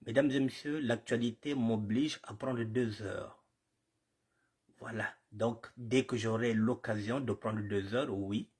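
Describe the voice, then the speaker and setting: A man's voice: medium pitch, fairly even intonation, slow pace, a little mellow, husky. A man with a cold hosting a live commentary show, greeting viewers formally and repeating himself, with Lingala phrases mixed in. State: has a cold. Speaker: online show host.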